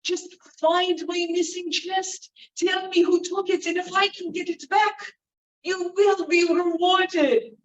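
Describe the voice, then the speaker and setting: A woman's voice: very high voice, steady tone, takes it slowly, voice pushed up. A woman doing an eager begging baron. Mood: pleading. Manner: eager, pleading. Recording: roomy, distant, dull sound.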